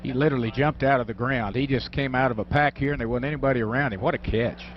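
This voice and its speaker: A man's voice: medium pitch, steady tone, quick pace, noisy background. An American man giving conversational color commentary and analysis on a football radio broadcast. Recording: dull radio broadcast, sound behind.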